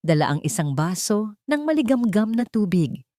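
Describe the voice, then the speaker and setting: A woman's voice: medium pitch, very animated, normal speed, mellow, no echo, studio quality. A woman narrating a sentimental scripted story as a storyteller, in a dramatic reading style.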